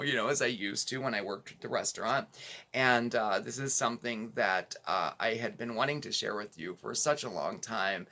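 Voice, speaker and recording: A middle-aged man hosting a casual home cooking channel, chatting and rambling to viewers with many fillers, his voice a little hoarse because he is losing it. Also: mid-range voice, pushed and bright.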